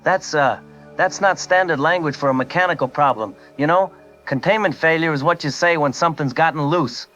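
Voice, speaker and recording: An actor playing a shaken coast guard captain who gives a hesitant, halting statement. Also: male voice, on the high side, fairly bright tone, muffled recording, faint music behind, lightly acted. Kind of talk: audio drama testimony.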